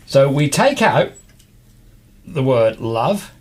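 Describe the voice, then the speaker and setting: A man's voice: mid-range voice, big pitch swings, takes it slowly. A man hosting a casual live radio show, chatting and explaining in a jovial, encouraging, matey way. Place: radio studio. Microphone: studio broadcast microphone.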